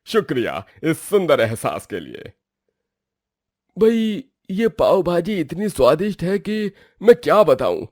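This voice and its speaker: A man voices an enthusiastic village food lover in a moral tale. He is hearty and very lively in pitch, recorded dry and close. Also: unhurried, no room sound. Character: hearty village men, enthusiastic food-loving friends and diners. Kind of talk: Hindi moral story voice acting.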